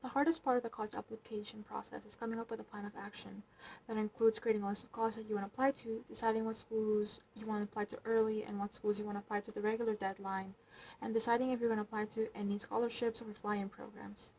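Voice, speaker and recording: Quick, creaky young woman. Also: medium pitch, flat delivery, darkish tone, a little hiss or hum, rough recording. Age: young adult. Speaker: student. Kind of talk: advice to viewers.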